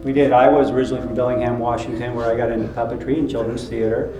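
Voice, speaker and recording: A man playing a proud, sincere puppeteer who speaks haltingly and earnestly in a live comedy sketch. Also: mid-range voice, little pitch movement, close to an everyday voice. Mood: sincere, reminiscing. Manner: earnest, self-serious, halting. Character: proud, sincere male puppeteer, fussy about his craft. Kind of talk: live comedy sketch, mock question-and-answer. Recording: echoey room, clearly noisy live recording.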